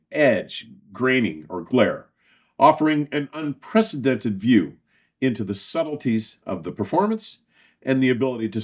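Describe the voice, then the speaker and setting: A man hosting a scripted product review, speaking formally in measured, emphatic phrases with deliberate pauses. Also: mid-range voice. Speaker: host and audio equipment reviewer.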